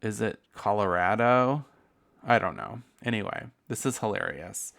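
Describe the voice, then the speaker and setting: Gravelly, smooth-voiced man hosting a podcast. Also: on the low side, moderate pace, fairly bright tone. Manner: candid, profane and campy, laughing asides. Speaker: podcast host. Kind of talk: casual solo podcast monologue.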